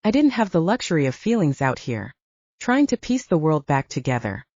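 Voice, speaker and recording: Lively, low-pitched woman narrating fiction. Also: normal speed, no room sound, muffled recording. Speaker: audiobook narrator. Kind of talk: dramatic scripted fiction narration.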